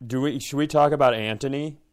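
A man's voice: mid-range voice, steady tone, brisk, clean tone. A man co-hosting a podcast, chatting casually and telling comic stories with some swearing. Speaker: podcast co-host. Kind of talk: casual comedic banter.

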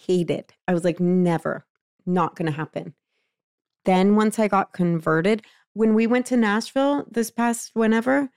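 A woman's voice: medium pitch, darkish tone, dry recording, studio quality. A woman podcast co-host chatting casually, with an enthusiastic, emphatic way of talking. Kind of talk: casual chat.

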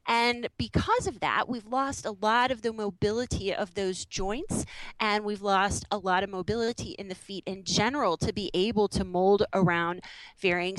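A woman guest on a podcast, a movement specialist who explains things in a calm, measured way. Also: mid-range voice, no echo, low-quality recording. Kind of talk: explanatory interview answers.

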